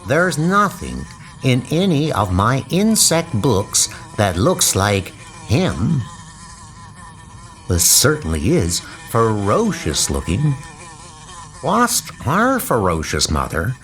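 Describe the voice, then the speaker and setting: A man's voice: medium pitch, huge pitch swings, slow, fairly bright tone, faint music behind, exaggerated voice. A man voicing an earnest, polite schoolboy insect collector in a comic book dub, speaking precisely. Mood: eager, reassuring.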